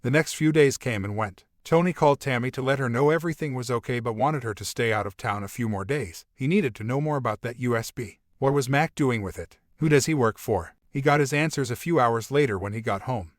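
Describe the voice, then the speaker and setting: A man's voice: medium pitch, fairly quick, hardly any pauses, dry recording. Dry, close-sounding male story narrator.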